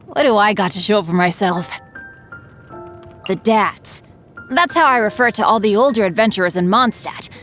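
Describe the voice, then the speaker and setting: A woman's voice: medium pitch, animated, normal speed, pauses often, a bit bright, soft background music, natural voice. A woman voicing an upbeat, earnest, unlucky teenage boy adventurer for a video game, eager, chatty and self-deprecating. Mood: sheepish, self-deprecating. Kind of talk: video game character voice lines.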